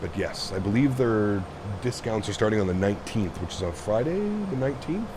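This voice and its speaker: A man with a deep voice, hoarse from being sick, casually chatting with viewers on a live stream while flying. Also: fairly quick, noisy background. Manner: relaxed, thinks aloud, reads and answers chat. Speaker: flight sim streamer.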